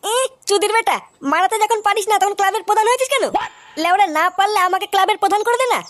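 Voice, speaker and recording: Squeaky, rapid-fire, brash cartoon youth. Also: a bit bright. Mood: brash, argumentative. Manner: brash, slangy, argumentative. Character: excitable, foul-mouthed cartoon young club member. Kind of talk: crude comic cartoon dub.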